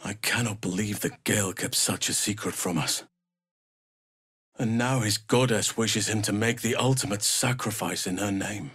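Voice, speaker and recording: An actor playing a big, gentle elf druid healer in a fantasy game, speaking in a measured, earnest and formal way. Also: male voice, on the low side, slightly rough. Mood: grave, thoughtful. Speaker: video game character voice actor.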